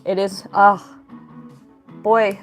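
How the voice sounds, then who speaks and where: A young woman chats through a relaxed livestream while she draws, upbeat and friendly as she reads out and answers viewer messages. Her voice sits at an ordinary middle pitch. Soft music plays faintly behind her. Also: very slow. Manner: chatty, upbeat, thanks viewers and reads chat aloud. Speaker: livestreaming artist. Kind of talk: casual livestream chat.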